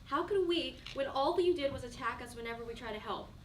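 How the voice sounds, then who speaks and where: A woman's voice: high voice, fairly quick, lightly acted. An actress playing a hurt, challenging young woman in a stage play, pointed and confrontational. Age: young adult. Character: bitter, challenging 23-year-old ex-best friend, hurt and betrayed. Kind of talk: one-act play dialogue. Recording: echoey room, distant mic, faint noise.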